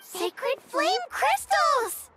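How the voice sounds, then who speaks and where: A woman voicing an excitable, friendly, girlish adventuring companion in a video game, with a perky, expressive delivery. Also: extremely high pitch, extremely slow, soft background music, full character voice. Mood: excited.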